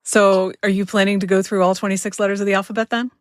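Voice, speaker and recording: A woman's voice: mid-range voice, flat delivery, quick pace, dry recording. Woman interviewing in a dry, close sound. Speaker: podcast host, interviewer. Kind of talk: casual conversational interviewing.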